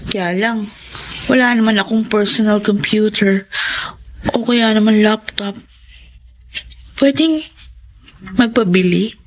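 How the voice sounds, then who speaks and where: An actress playing a carefree, wheedling college-age younger sister with a Filipino accent in a Tagalog radio drama. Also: female voice, medium pitch, slow pace, pauses often, close to an everyday voice. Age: young adult.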